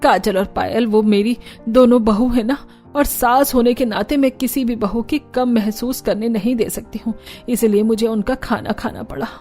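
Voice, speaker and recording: A woman's voice: fairly high pitch, moderate pace, darkish tone, soft background music, natural voice. A woman voicing a kindly, placating middle-aged mother-in-law in a homely manner for a cartoon story.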